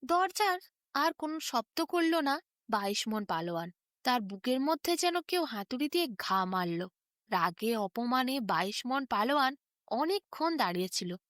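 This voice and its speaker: A woman narrating a scripted children's fairy tale in a dramatic, expressive way. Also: a little high, moderate pace, no room sound, studio quality. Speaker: storyteller.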